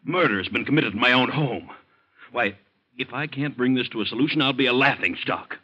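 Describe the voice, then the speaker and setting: An American actor in an old-time radio drama, playing an anxious, blustering middle-aged district attorney who is fretful and imperious. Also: male voice, mid-range voice, fairly quick, highs cut off, lightly acted.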